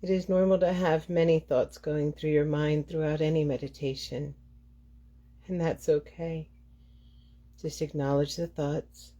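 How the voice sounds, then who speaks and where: Female meditation guide, lower register, very soft-edged dark tone, measured phrasing with pauses. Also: dull audio.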